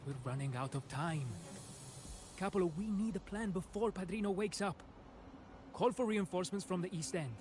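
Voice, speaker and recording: Man acting high-voiced, hot-blooded young mobster. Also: normal speed, background noise, close to an everyday voice. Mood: urgent. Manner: insistent, challenging. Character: hot-blooded, urgent young mafia member.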